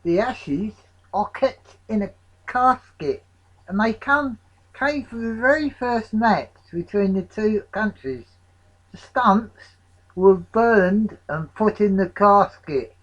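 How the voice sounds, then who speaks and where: A man voicing a fussy, excitable cricket fan in a storybook, speaking emphatically and with agitation. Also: high-pitched, slow pace, lo-fi sound, voice pushed up, full character voice. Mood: instructive.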